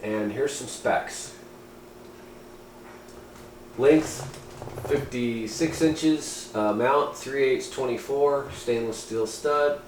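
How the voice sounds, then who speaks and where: A man's voice: medium pitch, drawn-out words, pauses often. Man in an echoey, noisy room, reviewing gear. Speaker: amateur radio hobbyist reviewing gear. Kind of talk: casual product review, partly reading aloud. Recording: roomy echo, steady background noise.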